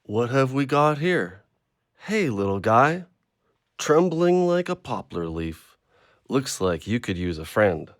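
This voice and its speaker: An actor playing a troubled, lonely man, gruff yet tender, in an audio play. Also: mid-range voice, big pitch swings, slow, no room sound, studio quality. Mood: gentle, soothing. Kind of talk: audio drama acting.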